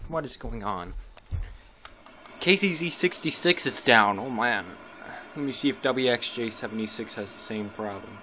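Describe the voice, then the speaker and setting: A man's voice: mid-range voice, lively intonation, normal speed, sharp tone. A man giving casual, rambling running commentary as a radio hobbyist, often hesitating and correcting himself. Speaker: amateur weather radio hobbyist and vlogger. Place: indoors in a room at home. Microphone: handheld camera mic, speaker behind the camera. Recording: muffled camera audio with background hiss.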